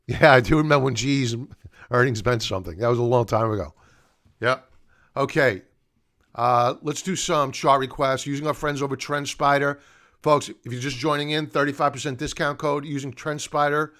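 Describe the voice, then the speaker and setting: A man's voice: mid-range voice, fairly even intonation, moderate pace, a bit bright. A middle-aged man hosting a live market analysis stream, talking off the cuff and explaining in a conversational, stop-and-start way. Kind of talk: unscripted live commentary, chatting to viewers.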